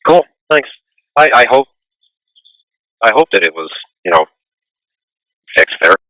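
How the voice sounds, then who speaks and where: A man working as a police dispatcher, talking over the radio in a halting, casual way as he thinks aloud. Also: medium pitch, big pitch swings, bright, forceful tone, no echo, low-quality recording. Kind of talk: informal police radio traffic.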